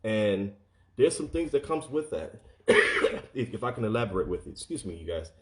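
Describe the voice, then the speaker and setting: A man's voice: on the low side, big pitch swings, normal speed, darkish tone, slight background noise. A man giving an earnest motivational talk, speaking straight to his listeners, often repeating words and acting out quoted speech.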